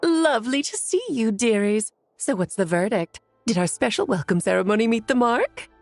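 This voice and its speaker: A woman playing a mischievous, theatrical witch mother with genteel manners in an animated video game. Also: fairly high pitch, moderate pace, dry recording, faint music behind. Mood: gleeful.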